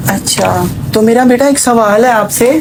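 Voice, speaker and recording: A woman's voice: a little high, normal speed, background noise, natural voice. A woman playing a persuasive, well-off mother seeking a bride for her son on a prank call, mixing in English words. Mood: probing, coaxing. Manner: auntie-like, persuasive, addresses listener as child, English words mixed in. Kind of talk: acted prank phone call.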